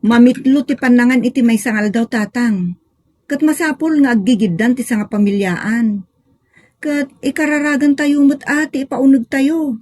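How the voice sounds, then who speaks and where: A woman's voice: sits high, normal speed, a little mellow, dry recording. A woman acting an exasperated, complaining Ilocano family member in a comedic audio drama, with an Ilocano accent. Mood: pleading.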